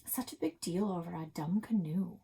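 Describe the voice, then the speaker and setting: A woman reads a children's novel aloud in a slightly low, husky voice. She narrates in the first person with expressive delivery, stretching out the words that matter. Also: moderate pace. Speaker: read-aloud reader for a public library.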